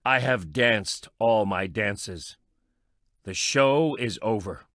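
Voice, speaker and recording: An actor playing a brooding, bitter, sardonic eccentric man in a video game, with theatrical, measured delivery. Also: lowish voice, animated, fairly bright tone, dry recording, clean studio sound. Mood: weary, bitter. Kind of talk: dramatic game voice acting.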